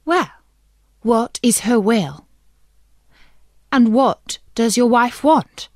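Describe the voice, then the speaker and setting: A woman performs a magical fish who grants wishes in a children's tale. The creature is terse and commanding, with slow, animated delivery in a dry recording. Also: fairly high pitch, no room sound, voice pushed up. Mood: questioning.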